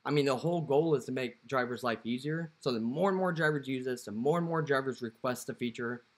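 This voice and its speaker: A man's voice: medium pitch, a little fast. A young man with a mid-range voice talks casually to the camera, walking through a phone app. His talk is loose and conversational, full of "you know" and "what have you." He is recorded close up indoors. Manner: conversational, filler-heavy, lots of "you know". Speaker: dispatcher and app promoter.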